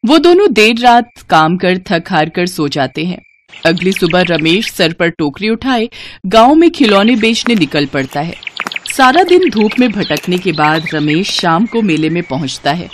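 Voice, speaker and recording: A woman's voice: fairly low pitch, sing-song, unhurried, background noise. A woman narrator reading a scripted moral bedtime story for children.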